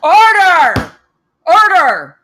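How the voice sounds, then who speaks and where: A woman's voice: very high-pitched, huge pitch swings, very slow, bright, forceful tone, a little hiss or hum, pitched above the performer's own voice, heavily acted. A woman playing a stern, impatient judge, formal and dry, at a screenplay table read. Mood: commanding. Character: stern, impatient courtroom judge keeping order.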